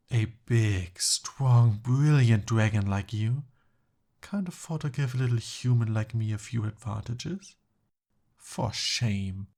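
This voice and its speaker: A man's voice: mid-range voice, creaky, a little mellow, slightly rough, heavily acted. A man playing a pompous young human prince in an ASMR roleplay, speaking formally but flustered and pleading.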